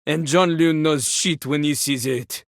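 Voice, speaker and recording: An actor playing a boastful French talking toilet in a video game, a pompous, theatrical rapper with a French accent. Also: male voice, on the high side, unhurried, no room sound, studio quality. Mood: grudgingly impressed. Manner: pompous, theatrical, refers to himself in third person. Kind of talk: video game character acting.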